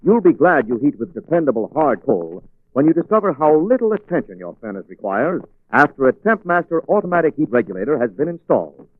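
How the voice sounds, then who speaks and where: A man's voice: a little high, big pitch swings, fairly quick, no room sound, narrow, tinny audio. A man announcing in polished, salesmanlike 1940s radio style, reading scripted advertising and narration. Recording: thin, band-limited 1940s radio recording.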